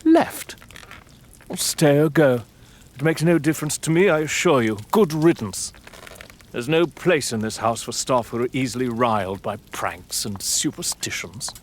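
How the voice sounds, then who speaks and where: A man's voice: medium pitch, expressive, measured pace, a little hiss or hum, close to an everyday voice. A man playing a haughty, defiant lord of the manor in a gothic audio drama, speaking in an imperious, sardonic, theatrical way. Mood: scornful.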